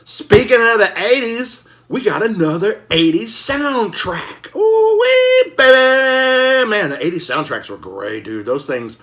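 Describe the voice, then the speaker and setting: A man with a forceful, bright voice hosts in a casual vlog style. His pitch swings widely as he talks in a folksy, slangy way, excitable and quick to exclaim. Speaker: host of a collecting show. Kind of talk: casual enthusiastic vlog chat.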